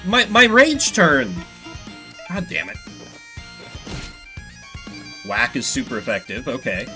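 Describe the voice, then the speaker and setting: Man, game streamer, bright voice, lively intonation, casual commentary, analytical, music faintly behind. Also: medium pitch, normal speed. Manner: thinks out loud, analytical. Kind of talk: casual live gameplay commentary.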